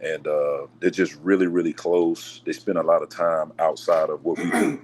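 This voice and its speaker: A man with a deep voice, a basketball coach being interviewed on a local sports talk show, answering in a measured, polite way. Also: very noisy. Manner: measured, polite, says "yes, sir". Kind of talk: casual radio show interview.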